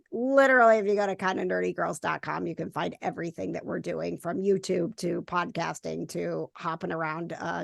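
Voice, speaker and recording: A woman's voice: lowish voice, creaky, fairly bright tone, dry recording, clean studio sound. A woman guest in a casual chat, rambling with many fillers. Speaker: guest, community founder.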